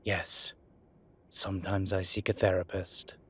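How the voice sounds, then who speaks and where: Casting: male actor as age-old demon academic for audio roleplay, breathy and husky, unhurried, gruffly tender and contrite, close one-to-one delivery. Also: deep voice, little pitch movement, a bit bright, heavily acted. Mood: wry, reassuring. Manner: slow, halting, measured delivery with sighs. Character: ancient male demon professor, gruff but contrite and caring.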